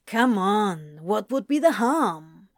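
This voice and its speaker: Woman playing a laid-back, slow-talking male demon. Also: medium pitch, very animated, dry recording, clean studio sound. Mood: coaxing. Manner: casual, coaxing, teasing.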